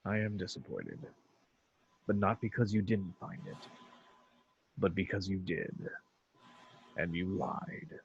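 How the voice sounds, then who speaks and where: A man playing a calm, menacing alien warlord and father in an amateur script read, speaking in a measured, patronizing way. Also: low-pitched, creaky, dull audio, slight background noise, heavily acted. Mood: quiet, controlled.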